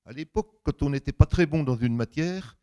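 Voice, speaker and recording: A man's voice: fairly quick, clear, resonant tone. An older man with a big voice giving an anecdotal public talk, colloquial and emphatic, acting out bits of dialogue as he tells it. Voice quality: big deep voice. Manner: animated storytelling, colloquial, emphatic, acts out reported dialogue.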